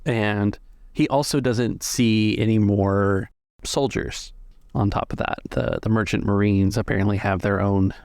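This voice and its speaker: A man's voice: fairly low pitch, fairly even intonation, unhurried, gravelly, a little mellow, no echo, clean studio sound. A man acting as game master, improvising the narration of a tabletop roleplaying game. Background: music.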